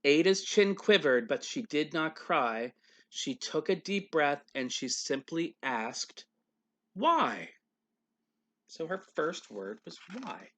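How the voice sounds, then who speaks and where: A man reading a picture book aloud like a teacher, emphatic and expressive, with casual explanatory asides for young listeners. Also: on the high side, slow.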